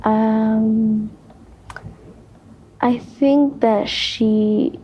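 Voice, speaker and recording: A young woman answering on a student panel, unhurried and hedging her answers. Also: fairly high pitch, fairly even intonation, lo-fi sound, a little hiss or hum. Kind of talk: casual panel discussion.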